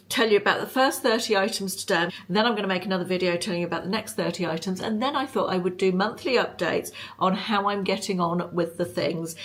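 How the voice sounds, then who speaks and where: A British woman in her late fifties talks chattily to camera, rambling and digressing with frequent small chuckles, recorded on the camera mic with no lapel mic. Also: fairly low pitch. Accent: British English. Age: 50s. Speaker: vlogger.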